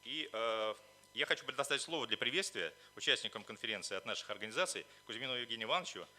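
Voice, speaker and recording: Fast, forceful man giving a formal speech. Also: mid-range voice. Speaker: conference speaker, representative of the organizers. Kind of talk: formal prepared conference speech. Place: conference hall at a library.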